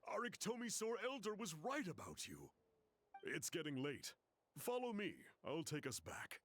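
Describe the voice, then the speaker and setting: Casting: youthful friendly game guide, somewhat high voice, sweeping intonation. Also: male voice, huge pitch swings, normal speed, a bit bright, full character voice. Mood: welcoming. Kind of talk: video game voice acting.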